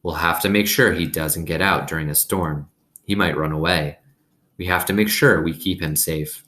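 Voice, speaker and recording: A mild, thoughtful preacher dad with a low voice, played by a man reading a storybook. He speaks in a measured way, as if patiently explaining. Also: pushed and bright. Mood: calm, reassuring. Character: girl's father, a mild, thoughtful adult preacher.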